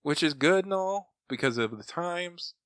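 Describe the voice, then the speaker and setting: A man's voice: fairly high pitch, expressive, unhurried, a bit bright, dry recording, studio quality. A man in casual podcast conversation, giving his opinions as a panelist. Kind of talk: casual chat, critique.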